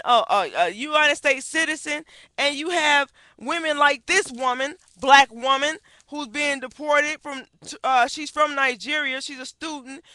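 A high-pitched, sharply bright woman delivers indignant, emphatic commentary, hammering her points with rhetorical questions. She is middle-aged and speaks into a headset mic in a small wood-paneled room. The sound is dry and close. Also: no echo. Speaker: activist vlogger. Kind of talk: impassioned webcam commentary. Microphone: headset on webcam.

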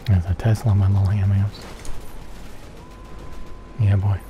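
A man giving soft-spoken ASMR gameplay commentary in a murmur, repeating pet phrases, close to the microphone. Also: deep voice, level tone, unhurried, lo-fi sound, music in the background. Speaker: video game streamer. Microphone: close stereo microphone. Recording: faint keyboard typing noise.

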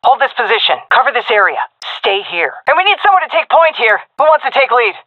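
An actress playing a tough, wisecracking female Navy SEAL commander in a video game, with brisk, cocky military callouts over a radio filter. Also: a little high, big pitch swings, no room sound, narrow, tinny audio. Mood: commanding. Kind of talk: short tactical shooter voice lines.

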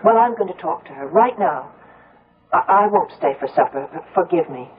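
Earnest skeptical woman, lively pitch, old radio. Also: normal speed, slightly rough, narrow, tinny audio. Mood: firm, impatient. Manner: earnest, dramatic, questioning. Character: sensible, skeptical grown daughter narrating her tale. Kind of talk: 1970s radio drama acting. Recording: band-limited old radio broadcast.

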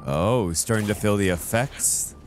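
A man's voice: on the low side, moderate pace, music in the background. A man in his forties co-hosting a game reaction on a headset mic, dry and agreeable. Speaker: reaction co-host. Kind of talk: casual reaction commentary. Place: home streaming room.

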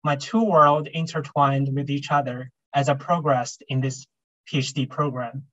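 A young man giving a prepared commencement speech as a graduating doctoral student, measured and earnest, over a video call. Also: medium pitch, steady tone, a bit bright. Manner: measured, earnest delivery with repeated refrains. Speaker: graduating PhD student speaker. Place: home room on video call, virtual backdrop. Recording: dull lo-fi video call audio.

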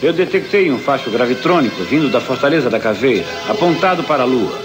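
An actor playing a kindly, concerned older scientist in a dubbed cartoon. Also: male voice, medium pitch, animated, quick pace, over loud music, close to an everyday voice. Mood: grave, explaining.

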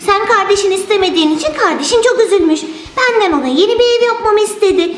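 A woman voicing a calm, knowing magical girl doll in children's toy storytelling, with a measured, reassuring manner. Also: extremely high pitch. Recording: roomy echo, music underneath.

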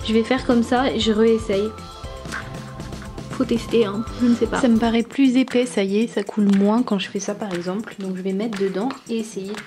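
A young woman in a casual voice-over, explaining each step of a craft toy in a relaxed way with small fillers. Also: fairly high pitch, fairly quick, over music. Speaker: toy review YouTuber.

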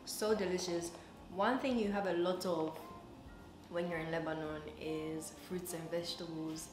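A woman's voice: lowish voice, fairly even intonation, moderate pace. A woman in her twenties from Ghana chatting casually to camera as a vlogger, recorded indoors. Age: 20s. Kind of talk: casual vlog chat to camera. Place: indoor living room, kitchen and bedroom. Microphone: camera a few feet away. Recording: echoey room with music behind.

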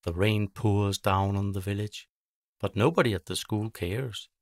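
Gravelly male bedtime-story narrator. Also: fairly low pitch, a little slow, no room sound, studio quality.

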